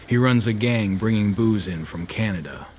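An actor playing a world-weary, tough private detective in a noir audio drama, speaking in a dry, wry, unhurried way. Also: male voice, lowish voice, animated, darkish tone, slight background noise, natural voice. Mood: businesslike.

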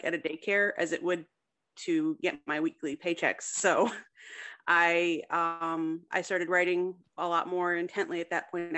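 A woman's voice: fairly low pitch, fairly bright tone. A woman in her thirties, chatting casually and light-heartedly as an interview guest. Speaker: guest, fiction writer.

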